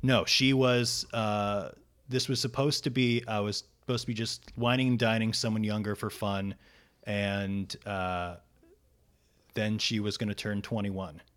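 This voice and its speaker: A man in his thirties, a comedian guest on a podcast, telling a personal story in a casual, profane, animated way. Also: on the low side, unhurried.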